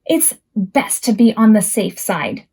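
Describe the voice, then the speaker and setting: A woman voicing a small, eager young boy from a picture book in an earnest, expressive way. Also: mid-range voice, unhurried, vocal fry, breathy edge, exaggerated voice. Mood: pleased, eager. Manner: earnest, expressive storybook delivery.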